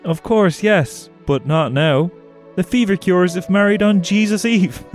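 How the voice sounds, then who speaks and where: A man's voice: high voice, unhurried, soft background music, voice pushed up. A man voicing a solemn, romantic tree seller and suitor in a stilted, mock-earnest way.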